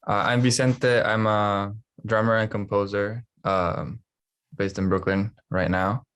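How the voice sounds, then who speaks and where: A young drummer and composer introducing himself in a relaxed, matter-of-fact way. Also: male voice, lowish voice, measured pace, clean tone.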